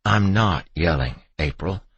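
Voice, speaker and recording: A man's voice: low-pitched, lively intonation, slow, slightly rough, dry recording, dull audio, voice pushed up, exaggerated voice. A man voicing a desperate husband in an audiobook, pleading urgently and emotionally with his terrified wife. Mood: gentle, pleading.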